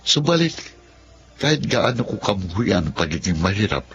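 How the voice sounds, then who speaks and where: A man's voice: fairly low pitch, sing-song, moderate pace. Proud young Filipino man, muffled broadcast. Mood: brooding. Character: young free-spending man, evasive and proud. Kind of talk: Filipino radio drama acting and narration.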